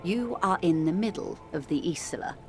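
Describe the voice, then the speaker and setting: A worldly, composed woman of mature years , voiced by an actress, speaks in a lowish register. She is eloquent and measured, with gentle condescension fit for narrative game dialogue. Music plays clearly beneath her. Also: animated, natural voice. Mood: grave, measured. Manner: measured, eloquent, calls the listener dear and darling. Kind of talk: dramatic video game dialogue. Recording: music playing under the voice.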